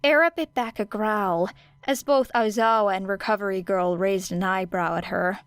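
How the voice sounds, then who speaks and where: Smooth-voiced woman narrating a story. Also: mid-range voice, normal speed, fairly bright tone, no room sound. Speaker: audiobook narrator.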